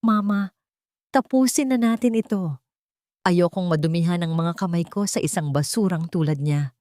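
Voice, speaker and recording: A woman's voice: on the low side, normal speed, mellow, no echo, studio quality, natural voice. A woman with a Filipino accent voicing a cold, cruel, contemptuous husband in an audiobook drama. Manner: menacing, scornful.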